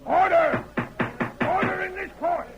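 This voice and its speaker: An actor playing a blustering, gruff Old West judge in an old-time radio Western, loud and commanding. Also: male voice, extremely high pitch, unhurried, muffled recording. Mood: exasperated, commanding. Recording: vintage radio recording with music bleed.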